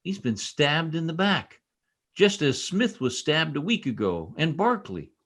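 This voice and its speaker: An actor playing an earnest, insistent young police trooper in an old-time radio drama, speaking with urgent emphasis. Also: male voice, fairly high pitch, big pitch swings, measured pace, fairly bright tone, exaggerated voice.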